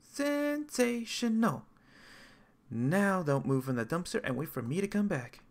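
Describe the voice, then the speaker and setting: A man voicing a gentle, polite young girl who talks in a formal, cheerful, helpful way. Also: sits high, sing-song, measured pace, voice pushed up, full character voice. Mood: earnest, cheerful.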